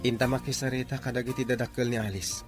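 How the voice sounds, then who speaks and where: A man plays a devoted, determined young sweetheart and son. His mid-pitched voice is earnest and respectful, in a sincere audio drama style. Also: fairly even intonation, fast talker, soft background music, natural voice. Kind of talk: Ilocano audio drama acting.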